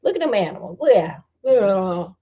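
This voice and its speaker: A woman's voice: mid-range voice, very animated, moderate pace, dark tone. An American woman reading a storybook aloud as host, with sassy, conversational asides to her listeners. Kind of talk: storybook read-aloud with casual running commentary.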